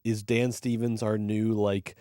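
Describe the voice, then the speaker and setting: A man's voice: on the low side, level tone, measured pace, dark tone, no room sound, clean studio sound. A man co-hosting a movie podcast, ranting emphatically and swearing freely in casual chat. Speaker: podcast co-host.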